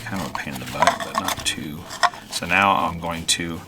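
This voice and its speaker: Low-voiced man, casual how-to host. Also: normal speed, very noisy. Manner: informal, muttering to himself while working. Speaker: DIY video host. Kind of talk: casual hands-on how-to narration.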